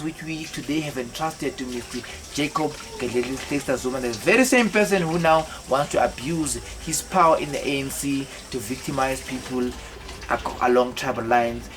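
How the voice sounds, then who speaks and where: Halting middle-aged man telling his life story. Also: mid-range voice, low-quality recording. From South Africa. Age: 50s. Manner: halting, with many fillers and repeated words. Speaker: autobiographical vlogger. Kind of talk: rambling personal life story.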